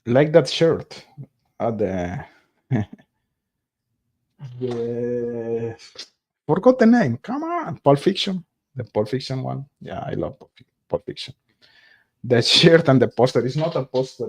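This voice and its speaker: A man who is not a native English speaker, hosting a casual live stream, reading viewer comments aloud and pausing often to search for words. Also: mid-range voice, big pitch swings. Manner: halting, searches for words, many fillers. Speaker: livestream host reading viewer chat.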